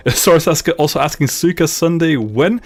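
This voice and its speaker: A man's voice: fairly high pitch, unhurried. A young man with a Dutch-Flemish accent streaming casually from his home gaming room, laughing often and repeating himself as he reads chat aloud. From grew up in Luxembourg, Dutch parents, lives in Belgium. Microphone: studio mic on boom arm, headphones.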